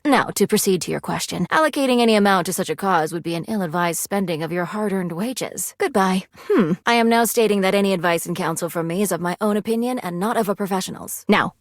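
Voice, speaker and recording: A woman's voice: medium pitch, no echo, clean studio sound, close to an everyday voice. A woman playing a video game character, prim and aloof money personified as a stern financial advisor, speaking in a formal, clipped, precise way.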